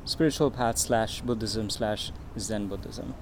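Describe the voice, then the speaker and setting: A man in his thirties interviewing a guest in a relaxed, conversational way. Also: fairly low pitch, a little hiss or hum. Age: 30s. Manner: relaxed, conversational, quick to react. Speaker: interviewer, host. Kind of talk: casual interview.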